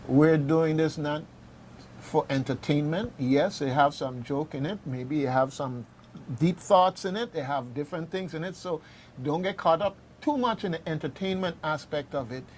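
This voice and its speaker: A man's voice: a little high, moderate pace, darkish tone, slight background noise. A man hosting a casual radio discussion, informal and jocular, often repeating his phrases as he goes. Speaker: radio host.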